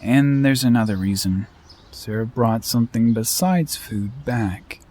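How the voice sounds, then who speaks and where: A man's voice: mid-range voice, unhurried, mellow, natural voice. A man playing a plain-spoken pack member in a scripted amateur audio drama. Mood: matter-of-fact.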